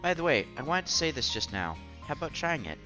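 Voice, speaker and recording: A man's voice: on the high side, very animated, fast talker, pitched above the performer's own voice. A man playing an earnest, bashful young college student who narrates his own romance in a visual novel. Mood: eager, hopeful. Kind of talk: visual novel read-aloud. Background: music under the voice.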